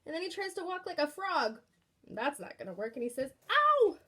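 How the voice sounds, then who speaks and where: A woman reading a children's picture book aloud expressively, pausing to put questions and asides to young listeners. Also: high-pitched. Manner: animated, engaging with questions.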